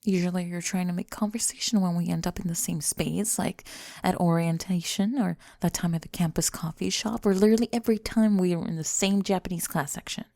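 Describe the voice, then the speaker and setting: A young woman playing a guarded, flustered college student in an intimate ASMR roleplay, speaking softly and haltingly, often slipping into whispers. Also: mid-range voice, vocal fry, no echo, studio quality. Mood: irritated, guarded. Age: young adult. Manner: halting, sighing, self-conscious. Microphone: close microphone, dry.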